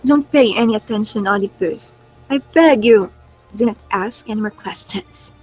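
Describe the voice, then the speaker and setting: A woman's voice: medium pitch, moderate pace, a little mellow, breathy edge, close to an everyday voice, poor audio. A woman playing a distressed figure of an ancient royal court in a readers' theatre tragedy, declaiming earnestly. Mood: pleading. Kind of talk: school readers' theatre performance of Greek tragedy. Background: prominent music.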